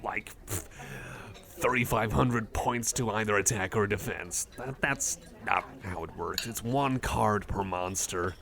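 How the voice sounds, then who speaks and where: A man voicing a friendly, nerdy cyborg card collector in an ASMR roleplay, rambling casually with a halting, apologetic manner. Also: on the low side, vocal fry. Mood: nostalgic, amused. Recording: cafe chatter behind the voice. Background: cafe crowd ambience.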